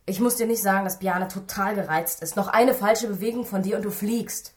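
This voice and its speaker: An actress playing a stern, worried policewoman in a radio drama, firm, admonishing and dryly sarcastic, with a husky voice. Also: mid-range voice, steady tone, fairly quick, darkish tone, natural voice. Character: stern, worried female police colleague, scolding and maternal.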